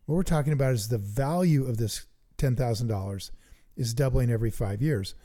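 Man, middle-aged, very dark mellow tone, eager, thinks aloud, casual explainer. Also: mid-range voice, a little fast. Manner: enthusiastic, thinks out loud, works sums aloud. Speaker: investing podcast co-host. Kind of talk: casual teaching chat.

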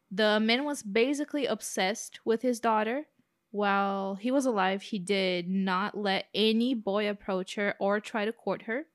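A woman's voice: medium pitch, dry recording, clean studio sound. A young woman with a Brazilian accent co-hosting a true-crime podcast, chatting casually with sarcastic asides. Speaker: podcast co-host.

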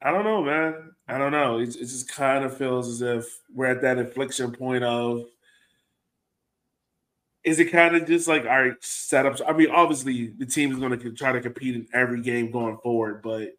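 A man's voice: mid-range voice, normal speed, a bit bright. A man co-hosting a sports fan podcast, talking casually and informally with a resigned manner. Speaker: co-host of a soccer fan podcast.

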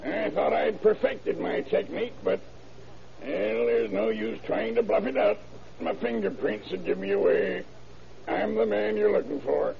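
An actor playing a cornered check forger who feigns innocence with flowery, hesitant courtesy in an old radio crime drama. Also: male voice, high-pitched, fairly even intonation, a little slow, gravelly, a loud crowd, heavily acted. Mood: resigned. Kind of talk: 1930s-40s radio crime drama acting. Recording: muffled old radio broadcast, crackly background din.

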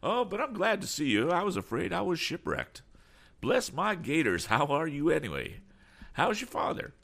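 A man voicing an excitable, fussy, eccentric older gentleman in a storybook, chattering nervously with quaint exclamations. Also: on the high side, moderate pace, creaky, slightly rough, voice pushed up, full character voice. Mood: excited, eager.

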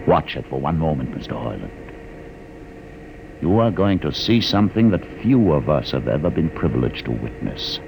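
An actor playing a calm, condescending older man who holds a prisoner aboard a starship, speaking in a measured, commanding way in an old radio drama. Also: low voice, vocal fry, heavily acted. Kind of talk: 1950s radio drama acting. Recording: vintage radio broadcast with hiss.

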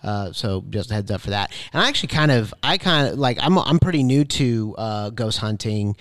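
A man with a mid-pitched, resonant voice hosts a podcast. He speaks informally and conversationally, and the sound is dry with no room echo. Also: animated, normal speed, fairly bright tone. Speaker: podcast host. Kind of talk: casual chat, reading listener questions.

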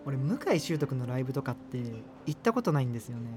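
A man's voice: mid-range voice, big pitch swings, rapid-fire, long reverb tail, close to an everyday voice. An actor playing an earnest, self-conscious male university student obsessed with subculture in a radio drama. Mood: awkward, earnest. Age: young adult. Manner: hesitant, self-conscious, apologetic. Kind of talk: radio drama dialogue and inner narration. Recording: music behind the voice. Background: music.

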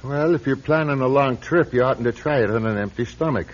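An actor playing a kindly older priest in a radio drama, gently chiding and good-humoured. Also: male voice, mid-range voice, fairly quick, natural voice. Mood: coaxing. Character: kindly, wry, older Irish parish priest. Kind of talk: old-time radio drama acting. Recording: muffled vintage radio recording.

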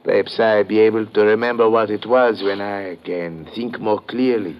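An actor playing a shaken, humble injured fisherman in a 1950s radio drama, halting and deferential. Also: lowish voice, steady tone, close to an everyday voice. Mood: hesitant, shaken. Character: shaken, humble injured fisherman, anxious about his wife. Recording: muffled old radio recording.

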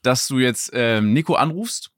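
A man's voice: medium pitch, animated, moderate pace, no room sound, clean studio sound. A man co-hosting a podcast in casual, slangy banter. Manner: informal, youth slang. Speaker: podcast co-host.

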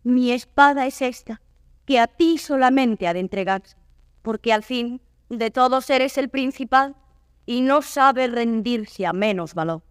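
Actress as a sorrowful noble avenger, fairly high voice. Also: female voice, clean tone, no echo, studio quality, lightly acted. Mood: humble pleading. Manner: measured, solemn verse declamation. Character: noble wandering avenger, sorrowful, courteous and proud. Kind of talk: classical Spanish verse stage acting.

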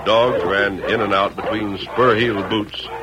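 A man's voice: fairly low pitch, steady tone, a little slow, over a noisy crowd. An American man narrating a western in formal, stentorian old-time radio style. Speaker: announcer and narrator.

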